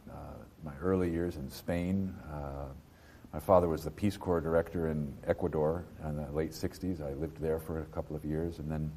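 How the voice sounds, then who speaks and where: Very deep-voiced man in his fifties. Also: fairly even intonation, warm tone, slight background noise. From New Jersey, US. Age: 50s. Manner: halting, many fillers and restarts. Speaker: interviewee, antiquarian bookseller. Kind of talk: casual interview.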